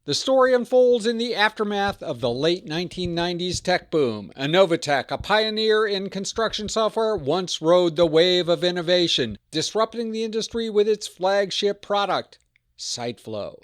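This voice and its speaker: Guy hosting a podcast, kinda high voice that swings around a lot, dry close sound, reading intro and credits. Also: animated, normal speed, no echo. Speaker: podcast host.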